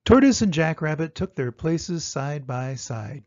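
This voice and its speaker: An older man reading a children's story aloud with expressive, dramatic emphasis. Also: fairly high pitch, a little slow, dry recording, highs cut off. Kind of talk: animated children's storybook narration.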